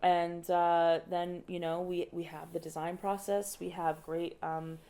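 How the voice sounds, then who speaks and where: A woman's voice: fairly low pitch, little pitch movement, unhurried, mellow. A young woman, an opera director, talking casually in a podcast interview, thoughtful and often restarting her sentences with light laughs. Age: young adult.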